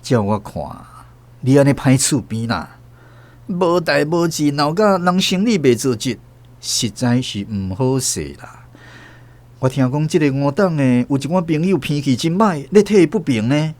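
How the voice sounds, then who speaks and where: A man voicing a slick male government official in a suit who talks people into dropping a complaint, smooth, persuasive and condescending. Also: a little high, animated, moderate pace, darkish tone, close to an everyday voice.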